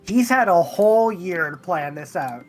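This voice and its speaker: Animated man bantering in a tabletop game. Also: sits high, moderate pace, slight background noise.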